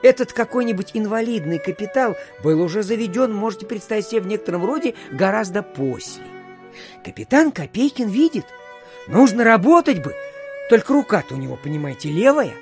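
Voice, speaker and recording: A garrulous small-town postmaster in an audio drama. He tells his tale pompously, padding it with filler phrases and dramatic pauses. His pitch swings widely, and music plays softly behind him. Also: sing-song. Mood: pompous storytelling. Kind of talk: theatrical storytelling in an audio play.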